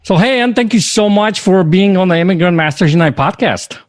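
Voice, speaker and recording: Man, podcast host, upbeat, somewhat high voice, dry close sound. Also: animated, brisk, no echo. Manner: upbeat, enthusiastic. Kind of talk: podcast interview.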